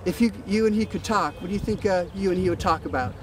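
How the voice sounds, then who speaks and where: A man's voice: sits high, fast. A man interviewing a soldier outdoors, asking questions in a polite, deferential way. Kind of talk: field interview. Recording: crowd chatter in background.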